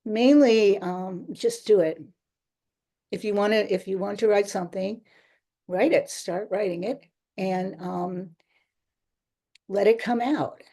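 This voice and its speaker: A woman author answering interview questions in a chatty, rambling way, often pausing and laughing lightly. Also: medium pitch, mellow.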